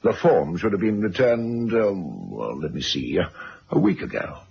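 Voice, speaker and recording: A pompous, middle-aged man in a radio play, with a low, creaky voice that swings dramatically in pitch. He blusters indignantly like someone used to privilege and now cornered, on a dull, hissy old recording. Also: big pitch swings, unhurried, full character voice. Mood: outraged, incredulous.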